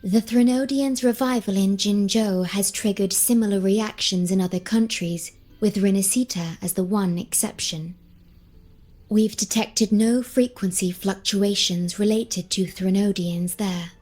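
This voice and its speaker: An actress voicing a composed, formal young female advisor in a video game, explaining in a measured way. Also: mid-range voice, fairly even intonation, darkish tone, soft background music, natural voice. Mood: calm, informative. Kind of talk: scripted game dialogue.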